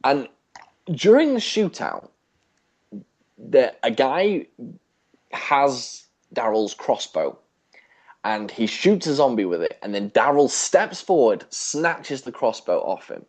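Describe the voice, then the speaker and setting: Male podcast co-host, average pitch, conversational, halting delivery as he works through opinions. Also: big pitch swings. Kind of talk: casual discussion of a TV show.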